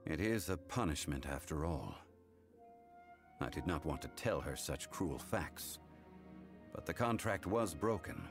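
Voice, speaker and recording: A grave calm game consultant, really deep n slow, formal measured way of talking. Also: male voice, music in the background, heavily acted. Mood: somber, measured. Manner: formal, measured, solemn delivery. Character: calm, grave, worldly male consultant recounting hard truths. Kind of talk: English video game voice acting.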